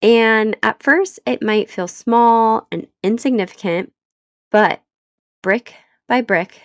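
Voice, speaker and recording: Woman podcast host, thirties, stuffy from a cold, slow and earnest, dry close mic. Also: fairly high pitch, no echo. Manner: earnest, encouraging, unhurried. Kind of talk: solo podcast talk.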